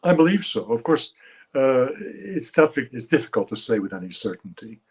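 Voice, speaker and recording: Halting older male analyst. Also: mid-range voice, big pitch swings, slightly rough. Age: 70s. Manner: measured, halting, with frequent uh fillers. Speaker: political analyst guest. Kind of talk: expert commentary in an interview.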